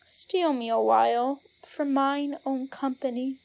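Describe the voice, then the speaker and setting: Woman playing a sad lovelorn girl, high voice, super slow plaintive verse, audio play. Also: fairly even intonation, creaky, a little mellow, heavily acted, low-quality recording. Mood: weary, sorrowful. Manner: plaintive, drawn-out. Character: forlorn, weary young lovelorn woman lamenting. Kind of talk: Shakespearean verse audio drama.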